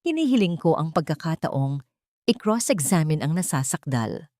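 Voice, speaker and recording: A steadfast defense attorney read by a woman speaks formally and assertively in a courtroom audio drama. The voice is slightly low with a dry sound. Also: big pitch swings, moderate pace, darkish tone, no room sound. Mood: firm.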